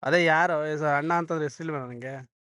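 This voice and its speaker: A man's voice: fairly high pitch, fairly even intonation, a little fast, fairly bright tone, no echo. A man with a Karnataka Kannada accent making a prank phone call in casual, colloquial speech, pestering and insistent. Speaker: prank caller, channel host. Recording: clear background noise and clicks.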